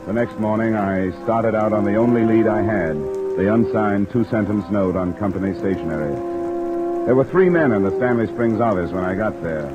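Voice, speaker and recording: An actor playing a cool, wry American investigator in a 1950s radio detective drama, speaking in a dry, clipped, understated way. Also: male voice, lowish voice, normal speed, over loud music, lightly acted. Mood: reflective narrating. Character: cool, wry freelance insurance investigator, a man. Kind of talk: 1950s American radio detective acting and first-person narration. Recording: muffled vintage radio recording, rain behind.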